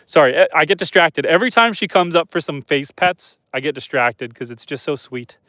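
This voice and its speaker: A man's voice: a little high, fairly quick, dry recording. A Canadian man in his thirties with a stuffed-up nose from a head cold, chatting casually on a live stream from outdoors at night. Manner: relaxed, chatty, soft-spoken to the animals. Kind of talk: casual live-stream chat reading comments.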